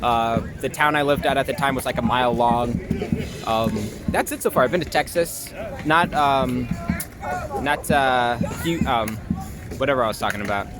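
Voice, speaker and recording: A man's voice: mid-range voice, normal speed, loud music behind the voice. A young man chatting casually in an interview, hesitating often and using filler words. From New York. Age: young adult. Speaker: interview guest, actor and filmmaker. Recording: noisy outdoor city traffic.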